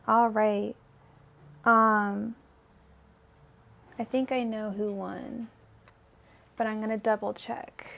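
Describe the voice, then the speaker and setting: A woman's voice: fairly high pitch, vocal fry. A young woman hosting a live tarot stream, chatting casually with viewers and saying her voice feels weak today. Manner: chatty, filler-heavy, addresses viewers by name. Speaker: tarot reader hosting a livestream. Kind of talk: casual livestream chat.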